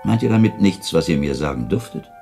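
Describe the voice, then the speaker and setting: A man playing a shrewd, methodical monk investigator in a radio play, reasoning in deliberate, pedantic sentences. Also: a bass voice, animated, vocal fry, over music, heavily acted. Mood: probing.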